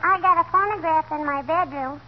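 A woman's voice: sits high, brisk, close to an everyday voice. A woman playing a pert, mock-innocent little girl in a 1940s radio comedy. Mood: mischievous. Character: pert, bratty little neighborhood girl needling a grown man. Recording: muffled, noisy 1940s radio recording.

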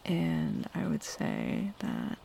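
A woman playing a shy, smitten young tomboy roommate in an intimate ASMR roleplay, halting and flustered as she talks. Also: extremely low pitch, huge pitch swings, gravelly, dark tone, exaggerated voice. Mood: tender, hesitant. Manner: halting, hesitant, flustered with nervous chuckles. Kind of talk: ASMR roleplay, intimate one-sided dialogue. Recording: faint rain noise behind close voice. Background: rain falling.

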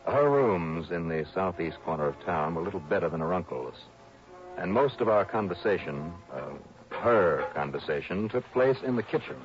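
Radio detective, deep voice, dry, wry, steady, vintage radio drama, muffled recording. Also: male voice, normal speed, faint music behind. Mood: narrating, wry. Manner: dry, world-weary, matter-of-fact. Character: wry, steady male insurance investigator. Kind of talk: 1960s radio drama dialogue and first-person narration. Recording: dull old radio recording.

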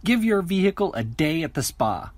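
A man reading a scripted advertisement in an upbeat sales pitch. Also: very animated, moderate pace. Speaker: ad announcer. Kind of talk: scripted radio ad read.

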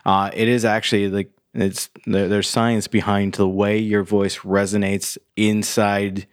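Co-host, man, very deep, slightly nasal, measured delivery, dry sound. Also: flat delivery, no room sound. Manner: measured, explanatory, hesitant with ums. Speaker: podcast co-host. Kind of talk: casual podcast chat.

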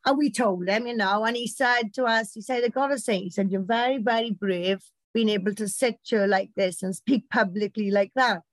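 A British woman with a loud voice, a campaign organiser telling stories in casual chat. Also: medium pitch, moderate pace, dry recording. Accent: British English. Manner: loud, chatty storytelling.

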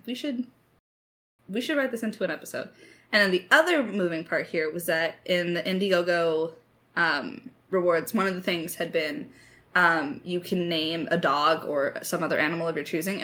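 Young woman, bright voice, casual and enthusiastic, candid, writer discussing her work. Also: on the low side. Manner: candid, enthusiastic, laughs easily. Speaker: audio drama writer in a creator Q&A. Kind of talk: casual chat.

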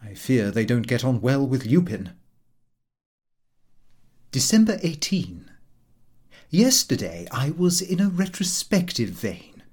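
A man narrating a comic diary audiobook in an expressive, fussy and aggrieved theatrical manner. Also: medium pitch, slow pace, pauses often, husky.

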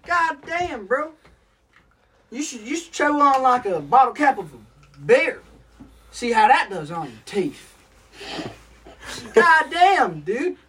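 A young man from Connecticut, co-hosting a loud, crude chat among friends. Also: very high-pitched, sing-song, takes it slowly, long pauses, slightly rough. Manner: loud, crude, yelling and joking. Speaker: podcast co-host. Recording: distant mic, faint background noise.

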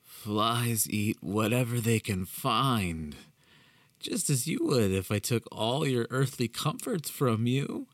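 A man voicing an unhinged, sinister man who speaks in a slow, drawn-out, mocking way. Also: medium pitch, very animated, no room sound, pitched above the performer's own voice. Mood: zealous. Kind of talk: horror story narration.

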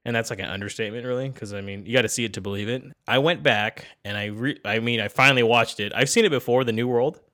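A young man sounding stuffy and nasal from a cold, with a bright, forceful edge to his tone. He rambles enthusiastically, restarting sentences as he chats on a casual podcast. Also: medium pitch, fast, few pauses. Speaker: podcast co-host. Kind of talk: casual chat.

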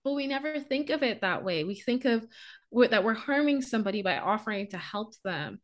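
A woman's voice: fairly high pitch, expressive, fairly quick. A woman from the Bahamas, a business coach speaking as a podcast guest in an earnest, reflective way and laughing easily.

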